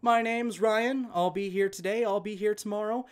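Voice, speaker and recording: A man working as a channel host, reading online stories aloud in an expressive, conversational way and speaking directly to listeners. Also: high-pitched, normal speed. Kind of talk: reading online stories aloud, scripted narration with chatty asides.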